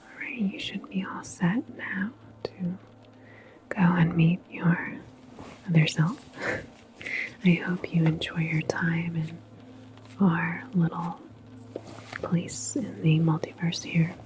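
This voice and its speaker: A woman performing an ASMR roleplay as a station attendant, speaking in a breathy whisper with a gentle, reassuring, unhurried manner. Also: lowish voice, steady tone, background noise.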